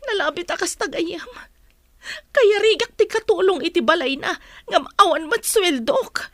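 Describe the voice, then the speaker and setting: A very high, dry-recorded female voice performed by an actress plays a wounded wife in a radio drama. She is plaintive and emotional, yet resolute about leaving a husband who cheated on her. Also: lively intonation, measured pace, fairly bright tone, no echo, exaggerated voice. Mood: hurt. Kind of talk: Philippine radio-style drama acting.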